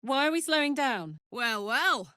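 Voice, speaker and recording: A woman voicing a brash, warm-hearted and rowdy female adventurer in a video game, with blunt, informal and expressive delivery. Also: sits high, a little slow, no echo, studio quality, heavily acted. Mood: casual, teasing.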